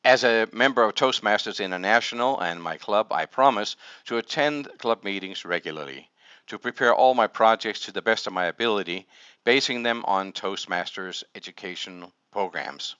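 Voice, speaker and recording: A man's voice: fairly low pitch, normal speed, sharp tone, clear, resonant tone, dry recording. Man giving a playful club speech. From Denmark. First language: Danish. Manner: playful storytelling with wordplay. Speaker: speaker at a public speaking club. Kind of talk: humorous prepared speech.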